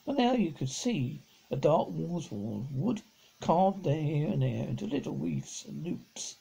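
A middle-aged man reads a children's novel aloud in a raspy, mellow voice. His pitch sits a little high and swings about freely. The delivery is halting, with stumbled and repeated words. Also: sing-song, rough recording. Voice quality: husky, gravelly. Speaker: amateur audiobook reader. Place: living room at home, on a sofa.

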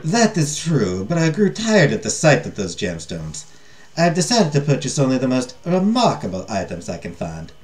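A man plays a haughty storybook king, grumpy and jaded. He speaks imperiously and dismissively in a mid-pitched, animated voice, with music behind. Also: moderate pace. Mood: bored, jaded. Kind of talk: storybook character reading.

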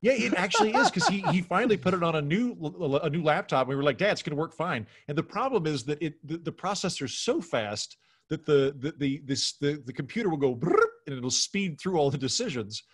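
A man's voice: a little high, fairly quick, breathy edge. A middle-aged man chatting casually as a podcast guest, telling comic anecdotes and acting out the exchanges in them. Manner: animated storytelling, acting out quoted exchanges. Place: home bedroom, indoors. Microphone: video call.

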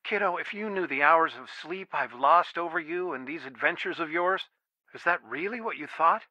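A man's voice: fairly high pitch, expressive, normal speed, sharp tone, no room sound, heavily acted. An actor playing a worried, protective middle-aged father in a video game, earnest and pleading, heard through a telephone filter. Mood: wistful, tender. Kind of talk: naturalistic video game dialogue, one side of a phone call. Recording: dry, band-limited phone-like sound.

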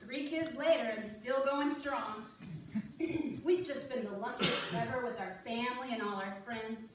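A woman's voice: a little high, a little slow, lightly acted. A woman playing a sweet, doting wife in an amateur reader's theater performance, recorded from a distance in a church. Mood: fond. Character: sweet doting wife Susie, a kindergarten teacher. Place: on stage in a church, far camera. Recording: very echoey hall, distant mic, noisy.

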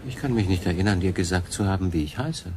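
A man's voice: deep voice, fast talker, darkish tone, slight background noise, close to an everyday voice. An actor playing a stranded solo sailor in a radio drama, a curious man who speaks in a questioning, reflective way. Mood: probing, subdued.

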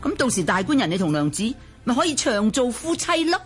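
A woman's voice: on the high side, big pitch swings. An actress playing a scheming old matchmaker in a radio drama, coaxing slyly and drawing out her persuasion. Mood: coaxing, smug. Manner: sly, coaxing, drawn-out persuasion. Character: scheming old female matchmaker, cold-blooded and wheedling. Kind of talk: Cantonese radio drama acting. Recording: music behind.

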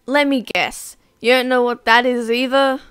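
A woman's voice: a little high, fairly even intonation, normal speed, a bit bright, clear, resonant tone, no room sound, studio quality, faint music behind, natural voice. A woman voice acting a questioning, determined girl on a spaceship in an amateur game roleplay. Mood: curious.

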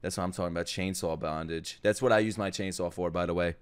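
A young man streaming his reaction to an anime at home, cracking crude jokes and breaking into sudden loud outbursts. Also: deep voice, fairly even intonation, fast, clean tone. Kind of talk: live reaction commentary. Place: home streaming room with gaming chair. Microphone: studio mic on boom arm, headphones on. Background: anime soundtrack playing faintly.

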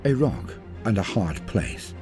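A man reading a scripted war story as a dramatic narrator, grave and theatrical, in measured phrases. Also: deep voice, sing-song. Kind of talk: dramatic scripted lore read-through. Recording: voice-over with music behind. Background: music.

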